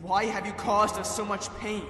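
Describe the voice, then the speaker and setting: A man playing an earnest, accusing young soldier in a sci-fi parody, pleading intensely and haltingly. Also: sits high, flat delivery, bright, forceful tone, echoey room, big-room sound, soft background music. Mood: anguished accusation. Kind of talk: animated sci-fi parody voice acting.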